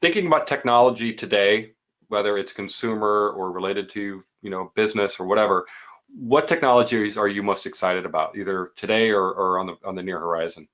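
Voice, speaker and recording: Male interview host, lowish voice, casual and conversational. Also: fairly quick, a bit bright.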